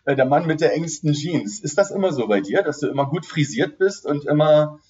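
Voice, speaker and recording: A man's voice: on the high side, expressive, a little fast, a little mellow, highs cut off. A man hosting a casual podcast interview. He chats, teases his guest and laughs easily. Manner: chatty, teasing, laughs easily. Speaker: podcast host.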